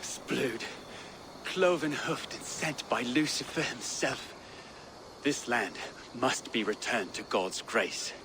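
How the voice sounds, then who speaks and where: Pious medieval priest, animated intonation. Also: male voice, medium pitch, takes it slowly, noisy background. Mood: solemn. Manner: solemn, preachy, dryly sardonic. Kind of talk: dramatic medieval video game dialogue.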